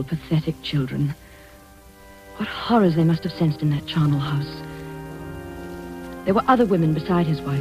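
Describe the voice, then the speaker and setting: A woman's voice: fairly low pitch, lightly acted. An actress playing a frightened, haunted woman in an old-time radio suspense drama, speaking with dramatic, breathless emotion. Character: frightened, haunted governess-like woman, earnest and desperate. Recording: dull old radio recording, music behind.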